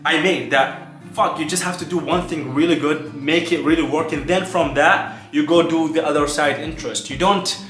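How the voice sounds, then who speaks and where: A man's voice: fairly high pitch, moderate pace, a bit bright. A man from Iraq talking casually and emphatically to camera in an informal motivational vlog, repeating points and sometimes swearing. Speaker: vlogger. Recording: roomy echo, music behind.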